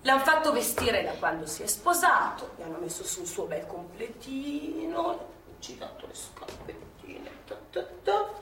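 A woman's voice: sits high, big pitch swings, moderate pace. An actress playing a bossy, teasing older woman who was a partisan courier, speaking colloquial theatrical Italian with a Milanese accent. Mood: bitter storytelling. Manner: chivvying, sing-song repetition, sarcastic. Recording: echoey stage, distant mic, faint noise.

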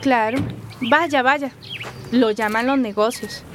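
High-voiced sarcastic farm woman. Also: slow, background noise. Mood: exasperated, sarcastic. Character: exasperated female orange grower, sarcastic. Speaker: actor in a radio drama. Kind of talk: radio soap opera dialogue.

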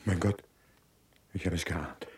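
A man playing a frightened, pleading character in a German radio play, halting and dramatic. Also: low voice, steady tone, a little mellow. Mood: dread. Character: terrified man, dread-filled and pleading.

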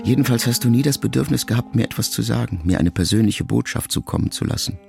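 A middle-aged man reading a literary memoir aloud in a measured, reflective first-person voice. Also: mid-range voice, animated, vocal fry, music in the background. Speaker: audiobook narrator. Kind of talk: scripted literary narration.

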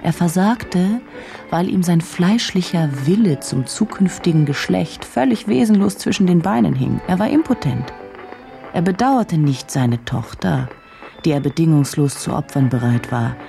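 An actress playing a driven, troubled journalist in a German radio drama, speaking with brooding, indignant intensity and deliberate phrasing. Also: female voice, on the low side, animated, creaky, mellow, soft background music. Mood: scathing. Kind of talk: German radio drama, dialogue and inner monologue.

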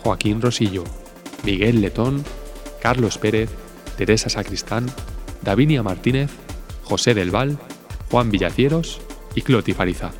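A man's voice: on the low side, a little slow, long pauses, soft background music. A man from reading scripted closing credits as an announcer.

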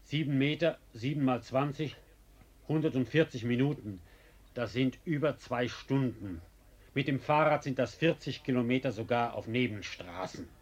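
An actor playing a sly, insolently calm male ex-convict in a German radio play, mock-courteous and ironic, with a husky voice. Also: medium pitch, highs cut off, close to an everyday voice. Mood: coolly polite. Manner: mock-courteous, teasing, unhurried irony.

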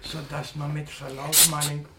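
An actor playing a humble, shabby manservant in a radio drama, deferential and plaintive. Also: male voice, fairly high pitch, completely flat delivery, moderate pace, crowd noise, close to an everyday voice. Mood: humble complaint. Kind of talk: theatrical German radio drama acting. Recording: roomy, distant, faint noise.

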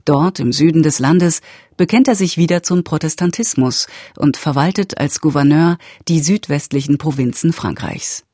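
A woman reading a scripted radio introduction and summary as announcer, with a husky, mellow voice. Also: low voice, dry recording, clean studio sound. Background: faint music.

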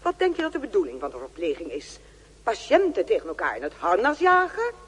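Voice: mid-range voice, wildly swooping pitch, brisk, fairly bright tone, breathy edge, big-room sound, heavily acted. An actor in a radio drama playing a senior nurse sternly lecturing a colleague with rhetorical questions.

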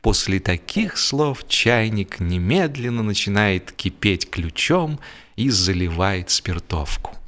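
A man narrating a humorous literary story as a radio actor, expressive and theatrical, drawing out words for emphasis. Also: lowish voice, slow. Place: radio studio.

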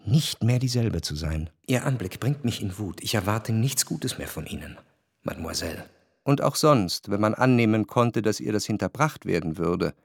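An actor playing a reflective, jealous gentleman who narrates a literary radio drama in measured, introspective phrases. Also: on the low side, lively intonation, creaky, breathy edge, no echo, studio quality, heavily acted. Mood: cold anger. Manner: measured, introspective, long formal sentences.

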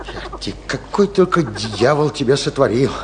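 An actor playing an exhausted wartime soldier-artist in a radio drama, gruff and defiant, muttering and cursing to his comrade. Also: mid-range voice, very animated, moderate pace, noisy background, close to an everyday voice. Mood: weary, bitter. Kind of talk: Soviet radio drama acting.

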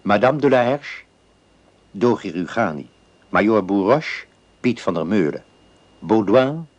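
A man reading radio drama credits as an announcer, in a formal, measured and deliberate way. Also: on the low side, no echo.

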